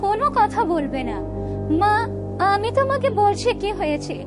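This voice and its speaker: A woman's voice: very high-pitched, very animated, moderate pace. A woman voicing a protective, indignant young village daughter in a cartoon, speaking emphatically. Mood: upset. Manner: emphatic, pleading complaint. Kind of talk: cartoon dubbing. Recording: loud music behind voice.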